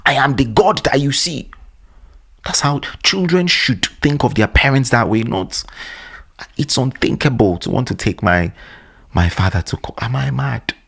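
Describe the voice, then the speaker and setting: A man giving animated, opinionated commentary, full of scolding rhetorical questions. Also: mid-range voice, unhurried, vocal fry, slightly rough.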